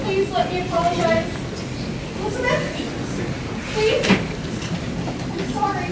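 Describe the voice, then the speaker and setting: Very high, echoey, anxious mother acted by a woman. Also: unhurried, recorded from a distance. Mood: apologetic. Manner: scolding, emphatic. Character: stern, anxious, protective mother of a grown daughter. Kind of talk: stage play acting. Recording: echoey stage recorded from afar, noisy.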